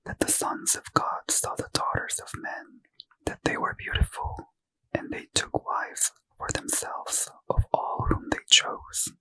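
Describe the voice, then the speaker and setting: Male ASMR reader, bedtime scripture in a slow, airy whisper with a sharp, bright edge. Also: low-quality recording. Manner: slow, soft unvoiced whisper.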